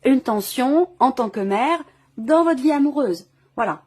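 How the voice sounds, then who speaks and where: A woman with a fairly high voice hosts an intuitive tarot reading. She speaks off the cuff, searching for her words and rephrasing ideas as she interprets. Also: lively intonation, unhurried, darkish tone, breathy edge. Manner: hesitant, searching phrasing with repeated rewording. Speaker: tarot reader hosting a video reading. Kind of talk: intuitive tarot reading, improvised spoken commentary.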